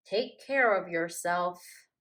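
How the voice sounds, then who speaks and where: A woman's voice: on the low side, slow pace, a bit bright. A woman voicing a friendly, caring male hippo in a children's picture book, speaking in a reassuring, encouraging way. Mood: sad.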